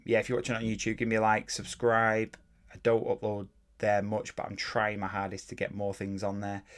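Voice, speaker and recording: Low-voiced fitness coach rambling. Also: male voice, smooth. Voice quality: plain, even voice. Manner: rambling, self-correcting, drops into mild ranting. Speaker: fitness coach and podcast host. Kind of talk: casual solo podcast talk.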